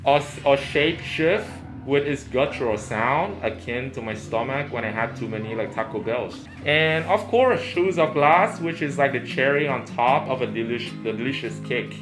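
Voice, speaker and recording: A man's voice: medium pitch, animated, moderate pace, hardly any pauses. Man chatting in a roomy, echoey space. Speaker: review show co-host. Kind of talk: casual review discussion. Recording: roomy echo with music underneath.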